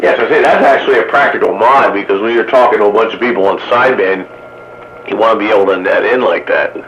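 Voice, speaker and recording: Lowish-voiced man talking radio shop. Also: fast talker, fairly bright tone, highs cut off, a little hiss or hum. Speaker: radio hobbyist. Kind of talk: casual radio chat.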